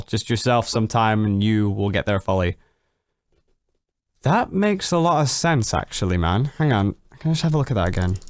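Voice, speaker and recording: A young man chatting casually on a late-night stream, answering viewer questions, swearing freely and jumping up in pitch when excited. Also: clean tone, no echo, studio quality. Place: home at night.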